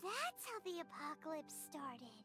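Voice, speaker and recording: An actress voicing a tiny, childlike companion sprite in a video game, squeaky, blunt and exclamatory. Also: female voice, huge pitch swings, measured pace, music in the background, heavily acted. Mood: subdued. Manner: third-person self-reference, exclamatory.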